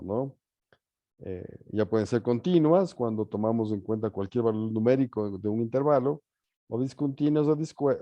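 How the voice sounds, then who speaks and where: A male teacher gives an informal lecture in a mid-pitched voice. He hesitates as he thinks and keeps tacking short checking questions onto his points. The sound is dull and lo-fi, with little room echo. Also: mellow. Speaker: university teacher leading an online or live class.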